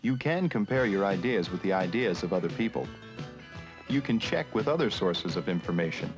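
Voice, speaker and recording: A man narrating an educational film in a measured, instructional manner, with questions put to the viewer. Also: sits low, lively intonation. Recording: muffled 1970s educational film soundtrack with music.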